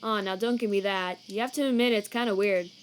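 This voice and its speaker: A woman voicing a weary, laid-back, protective male skeleton in a comic dub, casual and slangy, halting when troubled. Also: medium pitch, fairly even intonation, a little hiss or hum, close to an everyday voice. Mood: uneasy, tender. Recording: cricket night ambience behind.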